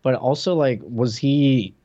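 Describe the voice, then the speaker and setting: A man's voice: mid-range voice, measured pace, dark tone, highs cut off. A man co-hosting a podcast, chatting casually as he recaps a show in a conversational way. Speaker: podcast co-host. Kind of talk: casual chat recapping a TV episode.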